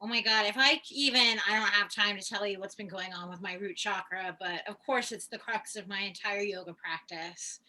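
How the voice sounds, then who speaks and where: A woman chatting casually on a video call as host, with an effusive, excitable and gushing way of talking. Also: mid-range voice, a little fast, hardly any pauses, poor audio. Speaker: host of a tarot gathering.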